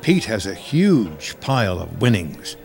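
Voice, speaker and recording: Narrator, male: lowish pitch, measured scripted storytelling, light music underneath. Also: sing-song, creaky, a little mellow.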